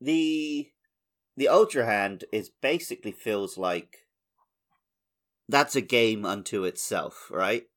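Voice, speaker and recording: A man's voice: fairly low pitch, lively intonation, slow, pauses often, fairly bright tone. A man chatting casually about video games as a podcast co-host. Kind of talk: casual video game chat.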